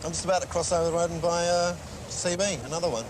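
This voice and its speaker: A man's voice: a little high, steady tone, fast talker, background noise. A man chatting casually as a radio enthusiast and customer. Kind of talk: casual chat.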